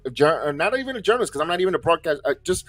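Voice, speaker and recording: A man's voice: fairly high pitch, big pitch swings, very fast, a bit bright, dry recording, faint music behind. Fired-up man ranting on a podcast. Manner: fiery, stumbling repeats, swears. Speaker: podcast host. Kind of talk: heated wrestling podcast rant.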